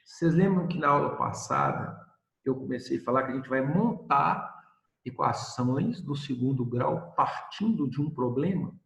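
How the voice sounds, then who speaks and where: A man's voice: medium pitch, lively intonation, unhurried, vocal fry, a bit bright, roomy sound, muffled recording. A male math teacher giving a recorded video lesson, explaining step by step and asking questions he answers himself.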